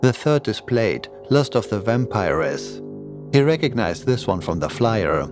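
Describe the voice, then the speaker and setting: A man with a fairly low voice narrates a scripted horror tale. Eerie music plays clearly behind him as he reads the prose in audiobook style. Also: big pitch swings, unhurried. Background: creepy music and sound effects.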